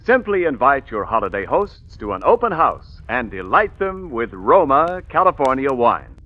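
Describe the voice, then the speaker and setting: A man's voice: mid-range voice, very animated, moderate pace. A man in the role of vintage radio announcer gives a polished sales read. His middling voice swings expressively, and the old recording is muffled. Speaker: commercial announcer.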